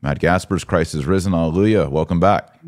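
A man's voice: deep voice, a little fast, a little mellow. A man hosting a conversational religious commentary podcast, often posing incredulous rhetorical questions. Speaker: podcast host.

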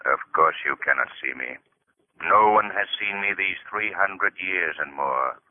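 A centuries-old spirit who rules by command. The voice is low and solemn with archaic phrasing, in an old radio drama with a narrow, tinny sound. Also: male voice, lively intonation, unhurried. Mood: scornful, imperious. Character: imperious centuries-old male master spirit. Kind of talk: 1940s radio drama acting. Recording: tinny, band-limited old radio recording.

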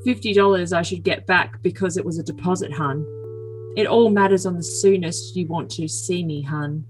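Woman putting on a besotted boyfriend, kinda low, stilted and super earnest, faint music under it. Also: moderate pace, natural voice, poor audio. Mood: earnest, concerned. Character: earnest, besotted young man, possessive doting boyfriend. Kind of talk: overly dramatic comic reading of text messages.

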